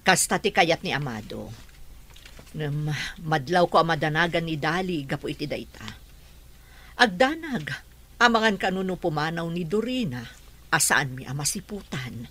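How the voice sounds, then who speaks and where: A woman's voice: deep voice, animated, moderate pace, long pauses, a bit bright, breathy edge, full character voice. An actress playing an anxious, middle-aged mother in an emotional radio drama. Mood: worried, subdued. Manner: emotional, fretful. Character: anxious middle-aged mother, fretting over her wayward daughter.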